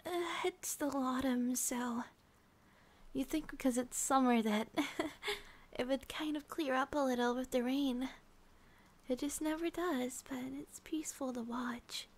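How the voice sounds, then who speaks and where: A woman playing a sleepy, affectionate young schoolgirl girlfriend in an ASMR roleplay, speaking softly and breathily, often near a whisper. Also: sits high, steady tone, measured pace. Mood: drowsy, chatty. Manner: soft, breathy, often whispering, with sighs and giggles.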